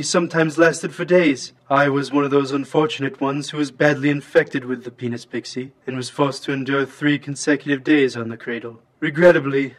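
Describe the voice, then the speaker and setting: A man's voice: a little high, lightly acted. A man playing a pompous, formal supervillain prince in a scripted comedy, with a stilted robotic voice. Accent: odd claimed Latvian. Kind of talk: scripted comedy character acting.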